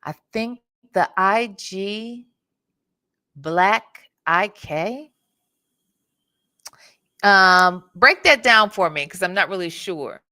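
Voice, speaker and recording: A woman's voice: mid-range voice, animated, drawn-out words, long pauses, pushed and bright. An American woman hosting a casual live reaction show, blunt, sassy and profane, with emphatic rhetorical questions. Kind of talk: casual live commentary with chat.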